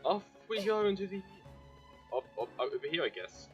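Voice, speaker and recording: A man's voice: high-pitched, big pitch swings, a little fast. A man giving casual live commentary as he streams a video game. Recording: dull, lo-fi mic over game music.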